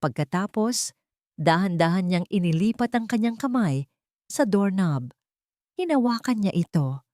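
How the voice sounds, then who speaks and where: A woman's voice: mid-range voice, animated, measured pace, a little mellow, no echo, clean studio sound. A woman narrating a scripted romance audio drama in a dramatic storytelling style.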